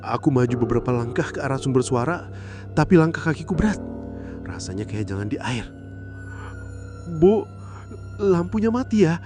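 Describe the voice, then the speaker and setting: A man narrating a horror story in the first person, suspenseful and theatrical, pausing between short phrases. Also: medium pitch, lively intonation, a little mellow. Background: background music.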